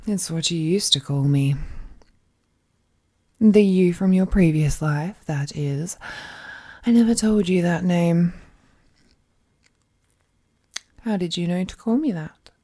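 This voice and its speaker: A woman playing an ancient, all-powerful female captor, possessive and lovesick, in an intimate villainous audio roleplay with a hushed, menacingly tender delivery. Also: lowish voice. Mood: startled, suspicious. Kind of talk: scripted audio roleplay, intimate dramatic monologue.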